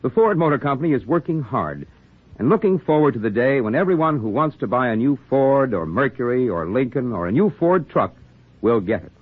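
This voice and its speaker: Male 1940s commercial announcer; animated, husky, mid-pitched, muffled phone-like recording. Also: fairly quick, no echo. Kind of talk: scripted 1940s radio advertising. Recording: muffled, narrow-band 1940s radio recording.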